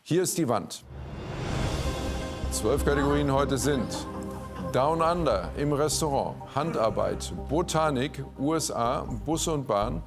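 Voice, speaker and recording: A man's voice: medium pitch, lively intonation, takes it slowly. A man hosting a quiz show in a TV studio, presenting live to an audience and guests. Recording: studio with music and audience behind.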